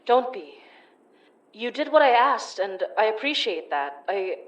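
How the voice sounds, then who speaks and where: A woman playing a calm, wry paranormal investigator who narrates the story, in an audio horror drama. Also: fairly high pitch, measured pace, rough recording.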